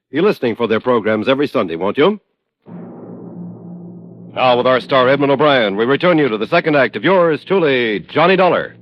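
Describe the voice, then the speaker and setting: A man's voice: mid-range voice, no echo. A man with an American accent reading scripted announcements as a polished, promotional 1950s radio announcer. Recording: dull old radio recording.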